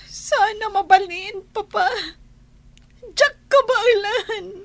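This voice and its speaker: A woman's voice: very high-pitched, slow pace, full character voice. A woman acting a tearful, lovesick young daughter, crying as she talks in a radio drama. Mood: sobbing.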